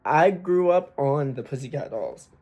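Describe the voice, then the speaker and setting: A young man chatting candidly to camera about his singing plans, talking in a deliberately raised, slightly forced voice, swearing freely and using lots of fillers. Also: mid-range voice, lively intonation, warm tone. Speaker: aspiring singer vlogger. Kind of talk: casual talk to camera.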